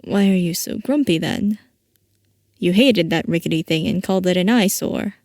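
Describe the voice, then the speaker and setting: A woman's voice: lowish voice, moderate pace, a little mellow, dry recording, studio quality, close to an everyday voice. A woman playing a playful, theatrical mad-scientist villain boss in a roleplay audio, coy and mock-innocent as she teases. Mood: amused, teasing. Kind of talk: scripted roleplay audio, ASMR-style character acting.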